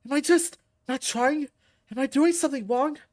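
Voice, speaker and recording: A woman voicing an exhausted young male fighter who shouts and swears in anger. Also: high-pitched, normal speed, voice pushed up, natural voice. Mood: raging.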